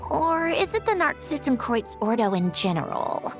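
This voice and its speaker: Proud, high-voiced young genius engineer. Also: female voice, sing-song, slow, full character voice. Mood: focused. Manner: clipped, commanding, stammers when flustered. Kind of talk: anime game voice acting. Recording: music playing behind.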